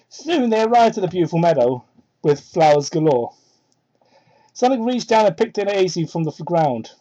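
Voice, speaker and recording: A young adult reading fan fiction aloud in a mock-dramatic way, with sweary, sardonic asides, on a cheap microphone. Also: warm tone. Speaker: reader and commentator. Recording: muffled low-fidelity cheap microphone.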